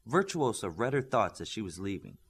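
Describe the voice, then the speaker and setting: A man reads fiction aloud as an audiobook narrator. His delivery is scripted storytelling, with a pitch that moves in an animated way from phrase to phrase. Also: fairly quick, breathy edge. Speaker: audiobook reader.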